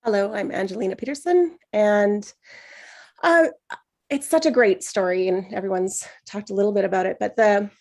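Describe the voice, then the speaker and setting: Regular-pitched woman, casual intro, just chatting. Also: moderate pace, a little mellow. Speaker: table read performer.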